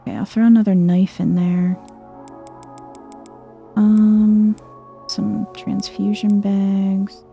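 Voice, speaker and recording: A soft-spoken woman giving chill, low-key ASMR gameplay commentary, murmuring to herself and sometimes slipping toward a whisper. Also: little pitch movement, slow pace, gravelly, long pauses, faint music behind. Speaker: gaming video host.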